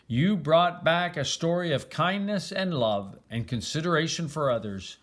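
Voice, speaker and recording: An older man voicing a jovial, warm-hearted old king in a children's storybook, with a grand manner. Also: fairly high pitch, animated, a little slow. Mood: warm, proud.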